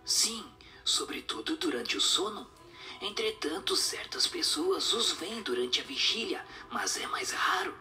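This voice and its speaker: A breathy, whispering spirit acted by a woman gives solemn, measured teaching in a radio drama. The pitch rises and falls freely, with music behind. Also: sing-song, bright, forceful tone, full character voice. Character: solemn, instructive spirit answering questions.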